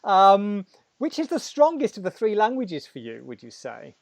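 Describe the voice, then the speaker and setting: A man's voice: sits high, wildly swooping pitch, moderate pace, vocal fry, no echo. A man hosting an interview, asking long, articulate, winding questions. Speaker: podcast host. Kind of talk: interviewing a guest.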